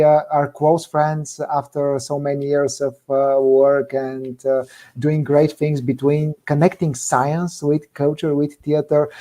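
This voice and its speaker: A young man with a Bulgarian accent, an energetic and earnest guest scientist explaining things emphatically in an online Q&A. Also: fairly high pitch. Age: young adult. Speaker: guest physicist, university assistant professor.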